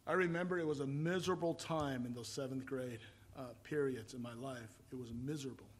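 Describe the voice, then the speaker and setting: Husky-voiced man preaching a sermon. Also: medium pitch, brisk. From Taiwan. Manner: builds to loud emphatic preaching, then drops to quiet storytelling. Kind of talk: sermon with personal testimony.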